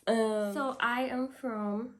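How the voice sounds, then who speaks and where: A woman's voice: on the high side, steady tone, takes it slowly. Young Dominican woman, casual vlogger, chatty, repeats herself, laughs often. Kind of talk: casual talk to camera.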